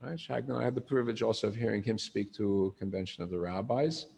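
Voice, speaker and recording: An older man with a gravelly voice giving an informal lecture on history, asking his audience questions and answering them himself. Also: on the low side, fast. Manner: animated storyteller, asks the room questions.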